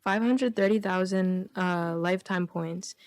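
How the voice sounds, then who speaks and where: A woman's voice: on the low side, a little slow, a little mellow, dry recording. A woman guest on a radio comedy show, reading jokes aloud and bantering casually. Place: radio studio.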